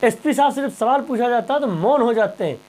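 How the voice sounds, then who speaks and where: A man's voice: extremely high pitch, animated, fairly quick, darkish tone. A man presenting impassioned news commentary straight to viewers, emphatic and rhetorical, repeating phrases and questions.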